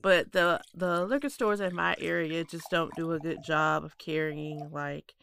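A woman co-hosting a podcast in casual chat, with a stuffy nose and a relaxed, chatty manner. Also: deep voice, unhurried, gravelly, a little hiss or hum. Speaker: podcast co-host.

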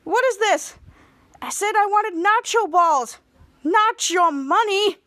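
Woman playing breezy, cheeky high-voiced robber villain. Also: slow pace, a bit bright, exaggerated voice. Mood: demanding. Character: cheeky, polite takeout-themed robber villain.

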